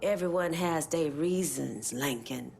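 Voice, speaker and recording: A woman's voice: deep voice, creaky. A solemn, impassioned resistance leader woman. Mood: measured, challenging. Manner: solemn, measured, persuasive. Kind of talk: dramatic video game cutscene acting.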